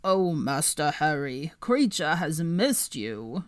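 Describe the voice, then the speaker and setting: Woman playing a male servant creature, croaky, low, very slow, fawning devotion, storybook reading. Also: sing-song, heavily acted.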